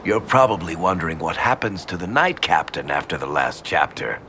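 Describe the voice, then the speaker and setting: A wry rogue storyteller from a fantasy game. The voice is deep, gravelly and forceful. He talks casually and theatrically, always ready to tease, over faint noise. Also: sing-song, normal speed, exaggerated voice. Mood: smug, amused. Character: wry, roguish storyteller, loyal and teasing. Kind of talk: video game character acting.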